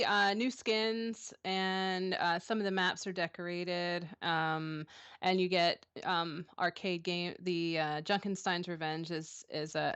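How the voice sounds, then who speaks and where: A woman's voice: lowish voice, fairly bright tone, no room sound. A woman in her thirties, a streamer answering interview questions in a casual, hesitant way, with many ums and restarts. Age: 30s. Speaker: streamer guest.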